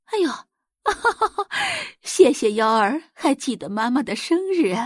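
A woman's voice: high voice, huge pitch swings, slow pace, no room sound, clean studio sound, pitched above the performer's own voice, full character voice. A woman voicing a doting rich mother in an audio drama, fussy, theatrical and exaggerated in every reaction. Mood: gushing delight. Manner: exaggerated, gushing, fretful.

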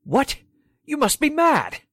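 A woman's voice: high-pitched, huge pitch swings, slow pace, dry recording, clean studio sound, full character voice. A woman playing the distraught, disbelieving child of an accused widow in a 1940s-style radio drama, emotional and exclamatory. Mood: shocked.

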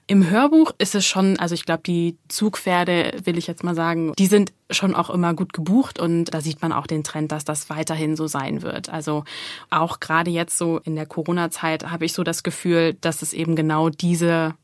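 A female interview guest explains things conversationally in long, linked sentences. Her voice is on the low side, and the recording is close and dry. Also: fairly even intonation, moderate pace, no echo. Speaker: talent agency manager, interview guest.

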